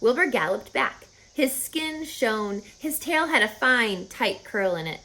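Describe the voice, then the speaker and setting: Lady narrating a kids book, fairly high voice, really animated read. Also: a little slow, rough recording. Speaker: audiobook reader. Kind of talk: expressive children's story narration.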